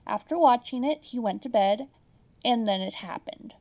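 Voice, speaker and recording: A woman's voice: fairly high pitch, lively intonation, moderate pace, darkish tone. A woman playing an anxious, long-suffering housewife who speaks in a fretful, meek way in a comedy audio drama. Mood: worried.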